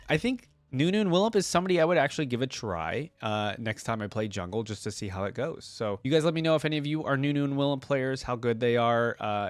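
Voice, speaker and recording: A man's voice: medium pitch, no room sound. A man hosting a reaction video, giving chatty, informal, off-the-cuff commentary. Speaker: reaction video host, streamer.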